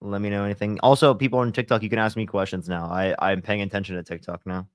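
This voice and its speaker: A man's voice: lowish voice, steady tone, quick pace, clear, resonant tone, no room sound, studio quality. A young man hosting a casual livestream, answering chat in a laid-back way full of fillers, a little congested with a sore throat. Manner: laid-back, filler-heavy, lots of "like" and "you know".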